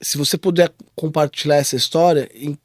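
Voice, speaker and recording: Podcast host with a slightly higher voice. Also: male voice, fairly even intonation, brisk, no room sound, clean studio sound. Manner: short backchannel replies and questions. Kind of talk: casual interview.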